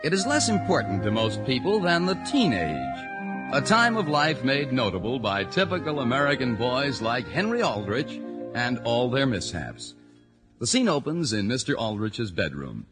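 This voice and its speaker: A man's voice: mid-range voice, wildly swooping pitch, moderate pace. A man announcing a 1940s radio show in a polished, emphatic scripted style. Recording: old radio broadcast with loud music. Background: loud music.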